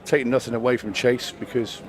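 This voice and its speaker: A man giving brisk play-by-play commentary on a pool match, with dry asides. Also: mid-range voice, level tone. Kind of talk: live sports commentary.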